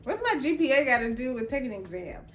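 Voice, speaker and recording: A young adult woman, a lawyer from Los Angeles whose first language was Jamaican Patois, tells her own story in a casual, expressive way and acts out the lines of people she quotes. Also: sits high, fast talker, soft background music. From Los Angeles, California. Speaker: attorney. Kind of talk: personal storytelling.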